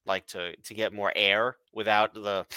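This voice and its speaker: Male co-host, bright, crisp, congested, laid-back, dry close mic. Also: on the low side, flat delivery, slow, no echo. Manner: laid-back, brief interjections and corrections. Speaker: podcast co-host. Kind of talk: casual chat.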